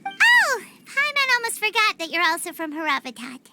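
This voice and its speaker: A woman voicing a small, excitable, childlike fairy companion in a video game, with a squeaky, cutesy delivery. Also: moderate pace, heavy background noise, full character voice. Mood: surprised. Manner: third-person self-reference, cutesy. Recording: loud music behind.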